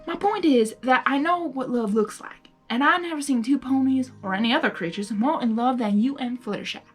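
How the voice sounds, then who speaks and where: A woman's voice: high voice, brisk, husky, faint music behind. A woman voicing a blunt, loyal female cowgirl pony in a story reading, commanding and emphatic. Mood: earnest, firm. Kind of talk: audiobook-style character reading.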